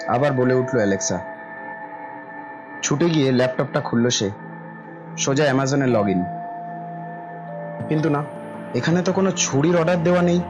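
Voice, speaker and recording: A man's voice: mid-range voice, moderate pace, long pauses, music in the background. A man narrating a scripted horror story in a dramatic, suspenseful style.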